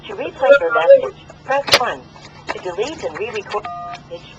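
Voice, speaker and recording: Recorded male voicemail-system voice, high and lilting, clipped prompts over a noisy phone line. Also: very animated. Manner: clipped, measured menu prompts.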